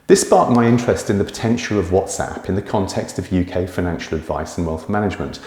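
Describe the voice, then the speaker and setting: A man's voice: low-pitched, a little mellow. A man hosting an interview, polite and chatty, who often ends his points with tag questions. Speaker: interview host. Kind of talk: hosted interview with a scripted intro. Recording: echoey room.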